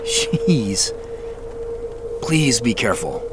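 A man's voice: medium pitch, wildly swooping pitch, extremely slow, loud music behind the voice, heavily acted. A man acting in an audio drama as a wary, concerned character directing a tail by phone, talking in a natural, reactive way.

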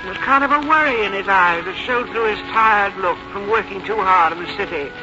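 A woman's voice: mid-range voice, fairly quick, over music, close to an everyday voice. An actress playing a stubborn, plain-spoken elderly American mother with a blunt, folksy, complaining manner in a 1950s radio drama. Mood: wry, resolved. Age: older. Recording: noisy, muffled 1951 radio recording.

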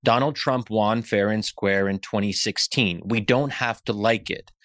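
A man's voice: fairly low pitch, measured pace, fairly bright tone, dry recording. Man, American accent, interview guest, earnest explanatory delivery, reflective discussion, dry close sound. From Pennsylvania, United States.

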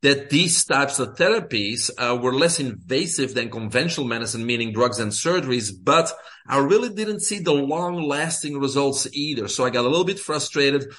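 A middle-aged man from Belgium with a Belgian accent, a guest telling his story in a relaxed way with fillers. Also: mid-range voice, moderate pace. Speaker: guest, health coach. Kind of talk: casual interview.

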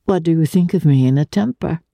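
A woman's voice: low-pitched, brisk, creaky, dark tone, no room sound, clean studio sound, pitched above the performer's own voice. A woman voicing an imperious, passionate aristocratic lady in a theatrical, exclamatory, commanding way for a storybook reading. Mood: bright, teasing.

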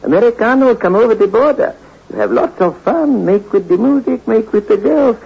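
Eager Mexican innkeeper, high and swooping, broken English, vintage radio drama with dull sound. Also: male voice, big pitch swings, moderate pace, gravelly, darkish tone, husky, exaggerated voice. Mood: eager, chatty. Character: eager, chatty Mexican rooming-house keeper. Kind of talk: old-time radio drama acting. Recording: dull old radio recording.

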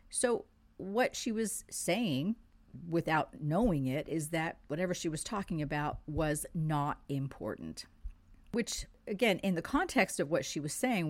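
A woman who grew up in France, with French as her first language, gives a spiritual forecast for the month. Her voice sits a little low. She talks in an earnest, measured way and leans on key words. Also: a little mellow. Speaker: spiritual teacher.

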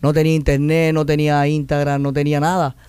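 A man's voice: on the high side, fast, clear, resonant tone, dry recording. A young man from Cuba with a Cuban Spanish accent, telling stories animatedly as a guest in a casual interview, often acting out other people's voices. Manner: animated, acts out quoted voices, restarts often. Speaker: guest artist.

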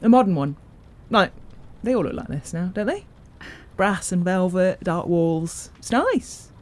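Lively, blunt, sardonic woman. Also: lowish voice, unhurried. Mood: dry, awkward. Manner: dry, offhand, bluntly teasing. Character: blunt, sardonic, jumpy woman visiting an old friend. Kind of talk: naturalistic audio drama acting.

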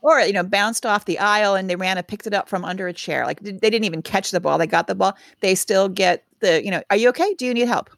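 A woman's voice: mid-range voice, fast talker, gravelly, few pauses, no echo. A woman co-hosting a podcast, telling stories in casual chat with wry asides. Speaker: podcast co-host.

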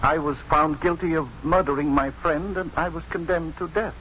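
An actor playing a slow, mild, earnest middle-aged ex-convict in a 1950s radio drama, plain-spoken, halting and deadpan. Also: male voice, fairly high pitch. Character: slow, simple, mild-mannered male ex-convict, earnest storyteller. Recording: muffled, noisy 1950s radio broadcast.

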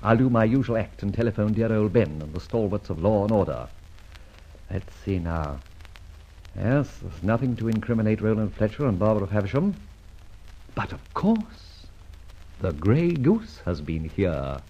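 A man playing a suave, wry and commanding gentleman adventurer in a vintage radio adventure serial. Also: lowish voice, sing-song, normal speed. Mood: sly, composed. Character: suave, self-assured gentleman adventurer and amateur sleuth. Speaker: narrator and lead actor. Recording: dull old radio recording, music behind.

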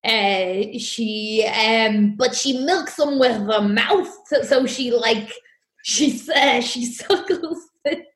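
A woman's voice: fairly high pitch, roomy sound. A woman playing a pushy male talent scout. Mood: brash. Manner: pushy, fast-talking, flattering. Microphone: video call.

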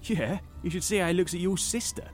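A man's voice: high voice, quick pace, faint music behind, close to an everyday voice. An actor playing a breezy young male park worker with a British accent, in naturalistic audio drama style. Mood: gossipy. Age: young adult. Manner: casual, chatty.

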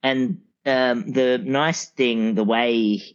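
A man speaking as an expert guest in a casual podcast discussion, explaining things in a rambling, halting way. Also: mid-range voice, steady tone, clean tone. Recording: dull, lo-fi audio.

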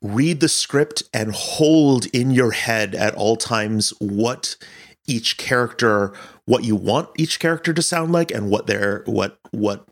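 A man who directs audio fiction, talking casually in an interview in a thoughtful, halting way as he searches for words. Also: mid-range voice, lively intonation.

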